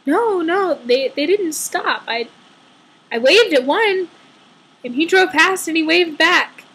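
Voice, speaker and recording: High voiced woman telling a story vlog style, slow paced, kinda sarcastic and dramatic, acts out the quotes. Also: animated, a bit bright. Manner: dramatic, sarcastic re-enactment of dialogue. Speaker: vlogger telling a personal story. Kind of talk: casual animated storytelling.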